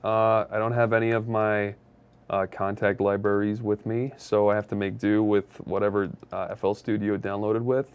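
A man's voice: lowish voice, little pitch movement, normal speed, a little mellow, smooth, lo-fi sound. A man casually explaining his music-making at his desk in a playful, offhand way. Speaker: game developer making music. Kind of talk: casual chat.

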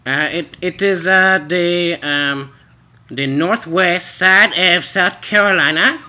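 Guy doing a naive pushy immigrant wife, high bright voice, halting broken english, prank call. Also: exaggerated voice. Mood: halting, earnest.

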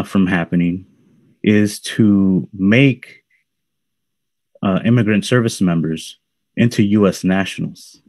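A man in his thirties, a researcher on a panel, answering in a measured way with fillers over a video call. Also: on the low side, a little mellow, no room sound. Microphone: video call with earphones.

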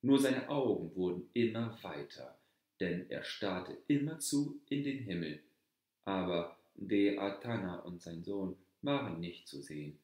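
A man reads a fairy tale in a deep, low voice, calm and measured. He takes his time, and the room around him rings with echo. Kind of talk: slow storybook narration.

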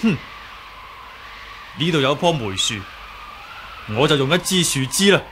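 An actor playing a courteous, confident young martial hero in a 1980s Hong Kong Cantonese radio drama, formal and composed. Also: male voice, a little high, lively intonation, unhurried. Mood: confident, polite. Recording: noisy old radio drama recording.

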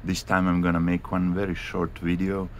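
A man giving a casual hands-on tutorial in a halting, informal way, repeating phrases as he goes. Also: sits low, completely flat delivery. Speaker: hi-fi video host. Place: indoors in a room with audio gear. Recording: clearly noisy handheld home recording.

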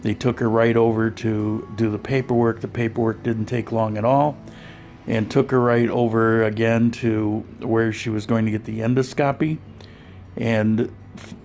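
Gravelly-voiced man narrating his vlog. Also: mid-range voice, a little hiss or hum. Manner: relaxed, conversational, with frequent fillers and restarts. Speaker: vlogger. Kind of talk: voice-over narration of a clinic visit.

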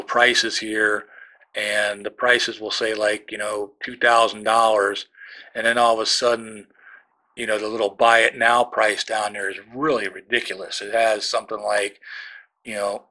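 Chatty man with a bright, forceful voice. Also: lowish voice, level tone, normal speed. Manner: chatty, rambling, jokey asides. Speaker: channel host. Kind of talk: casual product review and shopping tips.

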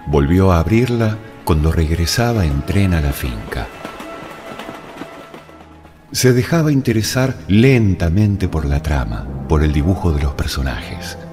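A man narrating a literary story in a dramatic, measured and deliberate way. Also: sits low, sing-song. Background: music.

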